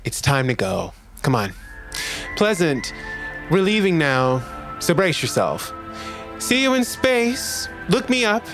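A man plays a young space traveler in an audio drama, cocky and charming with a clumsy streak. The delivery is lively and unhurried, with music softly behind. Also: on the high side, heavily acted. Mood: cocky, playful.

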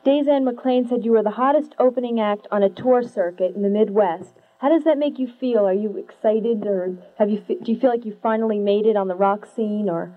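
A woman interviewing a rock band, eager and quick to laugh. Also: medium pitch. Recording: tinny phone-like lo-fi audio with hum.

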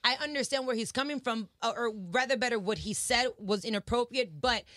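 A young woman of Dominican family talks in a casual interview, emphatic and heartfelt, slipping in Spanish phrases. Her voice sits fairly high and rings bright and forceful. The sound is close and dry. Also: fairly quick, dry recording. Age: young adult. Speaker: guest, singer and entertainer.

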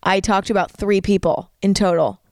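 A woman's voice: mid-range voice, steady tone, a little fast, darkish tone, no room sound, studio quality. A young woman, hosting a podcast in rambling casual chat full of "like" and "um". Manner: chatty, rambling, uses "like" and "um" a lot. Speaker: podcast host.

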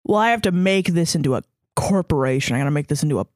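A man in his twenties, co-hosting a podcast in relaxed chat and swearing often. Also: fairly high pitch, racing, no room sound, clean studio sound. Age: 20s. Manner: laid-back, swears a lot. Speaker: podcast co-host. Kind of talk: casual chat.

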